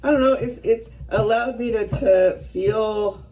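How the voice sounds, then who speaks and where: Woman, fifties, casual vlog chat, rambling and self-deprecating, mellow low-ish tone, heard from a distance off the mic. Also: a little slow. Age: 50s. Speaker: vlogger. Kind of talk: casual chat while working by hand.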